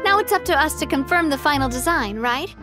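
An actress playing a spirited, modest young lady boss in a video game, breezy and expressive. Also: sits high, brisk, over music. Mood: playful, teasing.

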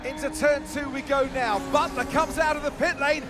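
A man as an excited motor racing commentator, calling the action urgently in a video game. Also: extremely high pitch, normal speed, over music. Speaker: lead race commentator. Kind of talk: live sports commentary.

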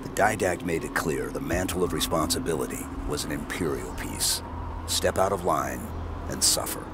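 Very deep, stoic super-soldier. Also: male voice, normal speed, background noise. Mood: suspicious. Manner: terse, deadpan. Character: stoic armored male super-soldier, terse and loyal. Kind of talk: video game cutscene acting.

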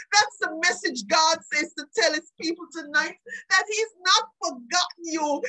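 A woman's voice: very high-pitched, bright, forceful tone, rough recording. A woman preacher delivering an impassioned live sermon, pleading and emotional, tearful, repeating phrases for emphasis.

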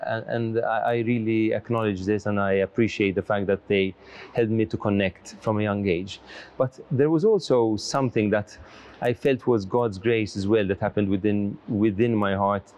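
A man's voice: fairly low pitch, fairly even intonation, moderate pace, a little mellow, muffled recording. A middle-aged man from Malta, a priest, answering interview questions in an earnest, reflective way with frequent restarts, seated in a large church. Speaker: priest and lecturer.

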